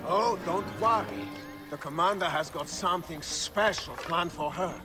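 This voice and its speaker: An actor playing a cruel, scornful soldier who gloats with menace in a dramatic game cutscene. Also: male voice, sits high, normal speed, music in the background, lightly acted. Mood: gloating, menacing.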